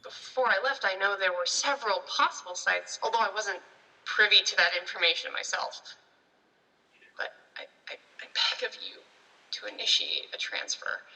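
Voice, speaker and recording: Stranded female scientist, played by a woman, radio drama. Tense bright voice, pleading, halting, recorded far off in long reverb. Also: mid-range voice, animated. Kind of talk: radio drama acting, logged transmission monologue. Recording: echoey, distant, long reverb.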